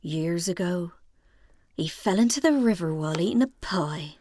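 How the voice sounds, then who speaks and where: A woman's voice: fairly low pitch, animated, normal speed, mellow, a little hiss or hum, natural voice. An actress playing a simple, practical, stubborn family woman in a comedy audio drama, speaking literally and matter-of-factly. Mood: practical, unbothered.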